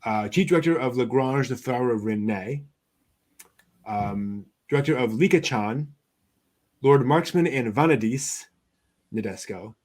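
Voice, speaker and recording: Casual male news-show host, mid-range voice, conversational and slightly halting. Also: medium pitch, expressive, darkish tone.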